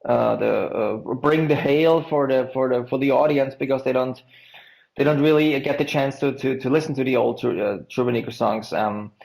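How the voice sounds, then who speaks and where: A man's voice: mid-range voice, steady tone, vocal fry, darkish tone, low-quality recording. A man hosting a podcast interview, asking long questions with frequent stumbles and restarts. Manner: halting, stumbles over words. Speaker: podcast host.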